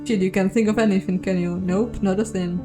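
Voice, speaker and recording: A woman's voice: medium pitch, fairly even intonation, fairly quick, close to an everyday voice. A sardonic game adviser, voiced by a woman, blunt and flippant. The voice mocks and nags from inside the hero's head, with faint music behind it. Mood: blunt, exasperated. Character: sardonic inner voice, blunt, mocking, exasperated adviser. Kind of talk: video game character reading.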